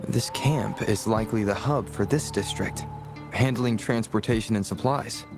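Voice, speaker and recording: An actor playing a calm, analytical investigator in a video game, measured and matter-of-fact. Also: male voice, fairly low pitch, over music, lightly acted. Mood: focused, analytical. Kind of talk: video game cutscene acting.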